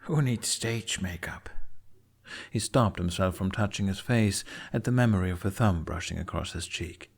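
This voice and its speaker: A man's voice: low voice, fairly quick, creaky, hardly any pauses. A man narrating a scripted fiction story expressively, as an audiobook reader. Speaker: narrator of a fan fiction podfic.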